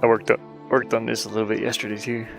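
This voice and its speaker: A tired-sounding man with a gravelly voice, giving casual, rambling commentary on his game development, muttering to himself with playful sing-song asides. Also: on the low side, level tone, fast, music in the background. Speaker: indie game developer narrating own work.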